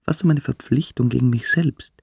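A man acting in a German radio play as a cruel, mystical teenage boarding-school boy, lecturing and brooding, sometimes hushed. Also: medium pitch, moderate pace, gravelly, slightly rough, no room sound, studio quality. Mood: fanatical, intense.

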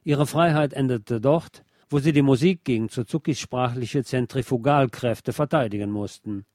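A man reading a scripted music feature as a radio presenter, in a measured, articulate, literary manner. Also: mid-range voice, darkish tone, dry recording, clean studio sound. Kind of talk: scripted narration.

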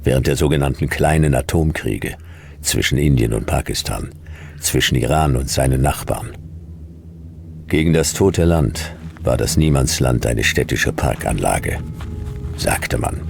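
A man's voice: extremely low pitch, unhurried, gravelly, a little hiss or hum, heavily acted. A man playing a laconic, world-weary detective in a radio play, speaking in a terse, dry, brooding way. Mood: grim, resolute. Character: laconic, world-weary male hard-boiled detective narrating his adventure. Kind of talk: radio noir narration and dialogue. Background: music.

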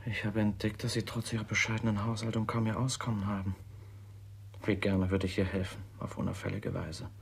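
An actor playing a lovestruck, vain, impetuous young gentleman in a classic radio play, with a husky, gushing, earnest delivery. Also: lowish voice, flat delivery, brisk, full character voice. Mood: frustrated, defiant.

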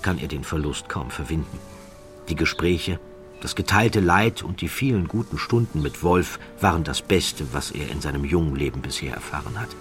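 A man narrating a literary story from a script in a measured, dramatic way with deliberate pauses, close to the microphone. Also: low voice, fairly bright tone. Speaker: audiobook narrator.

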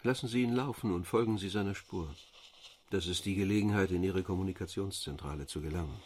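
An actor playing a harried, grumbling engineer in a sci-fi radio drama, exasperated in manner. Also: male voice, sits low, fast, darkish tone, lightly acted. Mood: wary. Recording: very noisy sound-effect backdrop.

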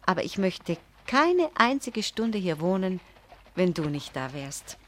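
Radio drama: earnest, affectionate young wife; lowish pitch, expressive swings, confiding delivery. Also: female voice, unhurried, slight background noise. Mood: tender, confiding. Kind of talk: German radio play acting.

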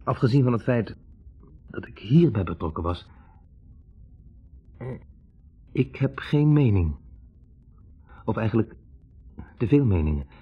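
An actor playing a wavering, introspective young medical student, hesitantly searching for words in a 1960s radio drama. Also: male voice, fairly low pitch, lively intonation, measured pace, pauses often, slightly rough, soft background music. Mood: hesitant, reflective. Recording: dull, band-limited 1960s radio recording.

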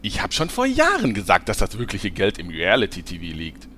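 A man's voice: on the low side, huge pitch swings, moderate pace, pushed and bright, a little hiss or hum, full character voice. A man playing a slick, scheming showman with a glib, smug delivery in a comedic audio drama. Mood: grandiose announcing. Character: slick, scheming male showman hosting reality TV.

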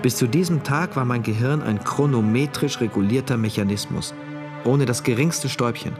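An actor playing a rigid, troubled man from a regimented future, narrating a literary radio play in a deliberate, brooding, precise way. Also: medium pitch, lightly acted. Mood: dreamy, measured. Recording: music behind the voice.

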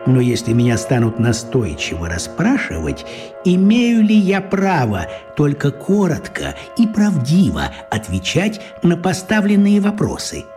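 An actor playing a small, crafty old wizard in a children's radio play, talking in a coaxing, folksy way with a husky voice. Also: male voice, fairly high pitch, wildly swooping pitch, a little slow, over music. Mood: pondering.

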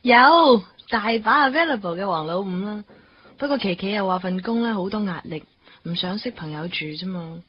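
A woman's voice: medium pitch, animated, normal speed, muffled recording, slight background noise, close to an everyday voice. An actress with a Hong Kong Cantonese accent playing a breezy, sociable young girlfriend in a radio drama, chatty, sprinkling in English words.

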